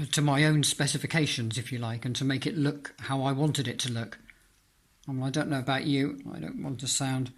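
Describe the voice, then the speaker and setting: Man giving an informal, halting hobbyist demonstration. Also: medium pitch. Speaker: amateur radio hobbyist presenting own homemade antenna. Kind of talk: informal product demonstration vlog. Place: indoors in a flat.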